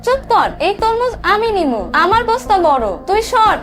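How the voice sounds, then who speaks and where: A woman's voice: very high voice, big pitch swings, normal speed, over loud music. A woman voicing a greedy, scolding young village schemer in a comic cartoon, bickering in colloquial speech. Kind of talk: Bengali cartoon comedy acting.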